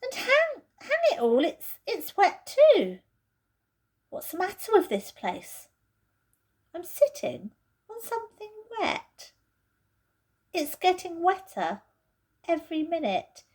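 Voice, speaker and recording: A woman's voice: very high-pitched, wildly swooping pitch, slow, pauses often, pitched above the performer's own voice, full character voice. Woman acting a frank, emphatic schoolboy leader. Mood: startled, grumbling. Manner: emphatic, old-fashioned schoolboy exclamations. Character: schoolboy older brother, frank, leading, indignant.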